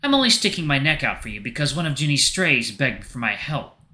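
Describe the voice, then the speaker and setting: A man's voice: mid-range voice, big pitch swings, a little fast, pushed and bright, pitched above the performer's own voice. A man voicing a weary older informant who is dry, guarded and grudgingly protective.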